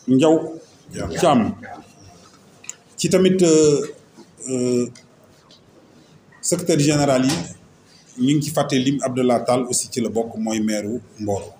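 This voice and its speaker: A man with a Senegalese accent speaking as a local mayor, making a semi-formal announcement in a halting, list-like way. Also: medium pitch, warm tone. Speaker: local mayor and association vice-president. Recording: roomy echo, faint background noise.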